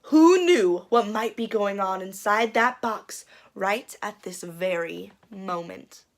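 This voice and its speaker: A woman reading a picture book aloud for children in an expressive, dramatic and playful way, building suspense. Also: mid-range voice, slow pace. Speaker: children's storyteller.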